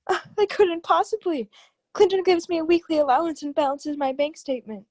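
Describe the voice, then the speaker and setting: A woman's voice: very high voice, a little fast, darkish tone. A woman playing a cornered, desperate wife with a secret past in a radio crime drama, pleading and defiant. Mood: tense, guarded. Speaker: actor. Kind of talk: old-time radio crime drama acting.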